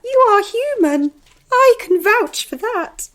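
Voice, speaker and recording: Devoted, protective female fan, gushing, high pitch, slow earnest delivery. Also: lively intonation, a bit bright, a little hiss or hum, heavily acted. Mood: adoring. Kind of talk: radio drama acting.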